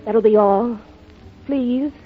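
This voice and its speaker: A woman's voice: fairly high pitch, takes it slowly, faint music behind. An actress playing a bold, thrill-seeking young upper-class woman in a 1930s radio drama, with poised, clipped phrasing. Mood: romantic, guarded. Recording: dull old 1930s radio recording.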